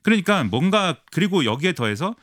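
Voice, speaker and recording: Fast animated male political commentator. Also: high-pitched, no echo, studio quality. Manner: fast, animated explaining with many fillers. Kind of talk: radio current-affairs analysis.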